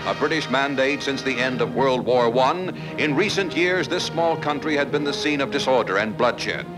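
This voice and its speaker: A man's voice: medium pitch, animated, moderate pace, over music, poor audio. A man narrating an archival newsreel in a formal announcer delivery.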